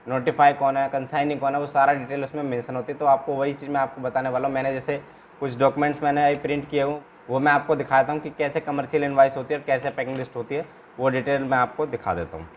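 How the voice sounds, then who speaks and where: A man's voice: mid-range voice, fairly even intonation, quick pace, muffled recording. A man teaching informally in an instructional video, explaining paperwork step by step and often repeating himself with small check-in tags. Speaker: trainer and channel host. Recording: clear background noise, room ambience.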